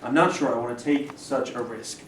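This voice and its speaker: A man's voice: medium pitch, fairly bright tone, close to an everyday voice. A man playing a cautious school head, echoey. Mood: reluctant. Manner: polite, careful, deliberate. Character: cautious, courteous married school head, reluctant, guarded. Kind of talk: staged play reading. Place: indoor room at a live staged reading. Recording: echoey room, distant mic, noisy.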